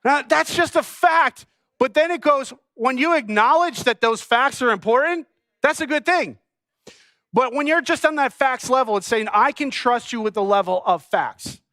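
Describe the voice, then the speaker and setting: A middle-aged man from New England preaching a sermon, telling stories and acting out bits of dialogue with lively energy. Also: very high voice, moderate pace, dry recording. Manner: animated storytelling with acted-out dialogue. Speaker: pastor. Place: church stage.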